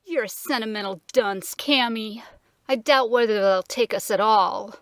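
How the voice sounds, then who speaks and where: A woman's voice: fairly high pitch, a little slow, dry recording, studio quality. A woman voicing a gloomy, doubting dromedary. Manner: gloomy, scoffing.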